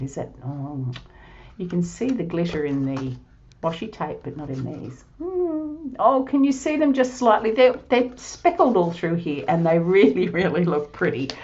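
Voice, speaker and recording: Croaky Australian woman teaching card craft. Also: on the low side, darkish tone, highs cut off. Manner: chatty, laughs often. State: hoarse, losing her voice. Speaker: card-making instructor. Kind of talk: crafting tutorial narration. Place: home craft studio, at a table.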